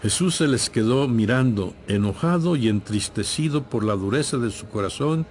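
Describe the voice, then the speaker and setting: A man giving scripted, measured and solemn narration for a dramatized audio Bible. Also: medium pitch, animated, darkish tone, a little hiss or hum.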